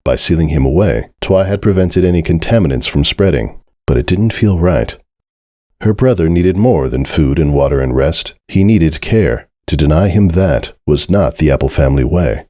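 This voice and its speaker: A man's voice: rumbling low, lively intonation, moderate pace, dark tone, no echo, clean studio sound. A man reading a scripted story as narrator, in a dramatic storytelling style.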